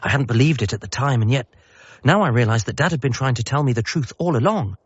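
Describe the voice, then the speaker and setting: An actor playing an eager, apologetic grown-up son in a radio comedy drama, in narration and dialogue. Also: male voice, mid-range voice, lively intonation, fast talker, slightly rough, no echo. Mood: reflective, wondering. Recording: slightly muffled, faint music behind.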